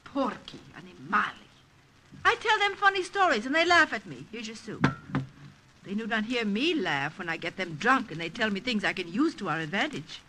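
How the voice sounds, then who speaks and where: Feisty teasing young woman, Italian accent, bright theatrical voice, emphatic, old radio drama, noisy recording. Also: medium pitch, very animated, normal speed. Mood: scornful, brisk. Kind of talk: 1940s-50s radio drama acting.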